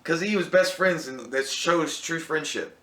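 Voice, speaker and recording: A man in a loose movie chat who rambles, swears freely and gets loud and lively when he relives favorite scenes. His voice is bright and a little high. The room around him has a clear echo. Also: normal speed. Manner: rambling, profane, animated when retelling scenes. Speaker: co-host of a movie channel. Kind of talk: casual chat.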